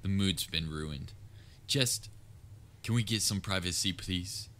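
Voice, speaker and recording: A very low-voiced, theatrical supervillain played by a man with a crisp, forceful edge. He is pompous and dryly sarcastic, a grand nemesis from a comedic audio roleplay who secretly cares about his rival. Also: slow. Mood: irritated, dismissive. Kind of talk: scripted audio roleplay, comedic villain monologue.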